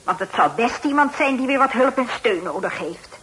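Prying, chatty housemaid, animated intonation, respectful, faintly noisy radio recording. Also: female voice, on the high side, normal speed. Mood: chatty, curious. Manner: gossipy, prying, deferential. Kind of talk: Dutch radio play acting.

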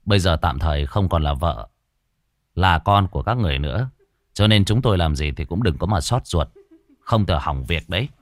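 A man voicing a hot-tempered young male exorcist, gruff and quick to shout. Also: deep voice, animated, no room sound, studio quality, voice pushed down. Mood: stern. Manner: gruff muttering that bursts into shouts.

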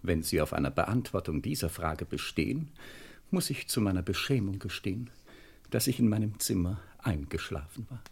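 An actor playing a haughty, pedantic middle-aged English butler in a German radio crime comedy, speaking formally and with dry sarcasm. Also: male voice, low-pitched, animated, normal speed, vocal fry, a little mellow, husky, exaggerated voice. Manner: pompous, formal, dryly sarcastic. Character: haughty, pedantic English butler, easily frightened, about fifty.

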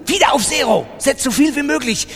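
A man voicing an imperious, excitable old grandmother who fires off impatient questions and commands in a literary reading. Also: extremely high pitch, fairly quick, vocal fry, pitched above the performer's own voice, full character voice. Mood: frantic, commanding. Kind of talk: dramatized literary audiobook reading. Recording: long reverberant hall.

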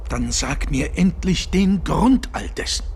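A loyal gentleman demanding the truth, with a fairly high, gravelly voice. He presses earnestly and formally in a radio drama, over faint street noise. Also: animated, a little slow. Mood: pleading, hurt. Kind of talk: German radio drama acting.

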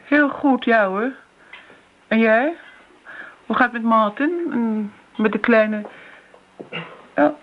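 A woman's voice: fairly high pitch, takes it slowly, pauses often. An actress playing an eager-to-please, forgetful older woman who talks effusively and hesitantly in a radio play. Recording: dull, noisy old radio play recording.

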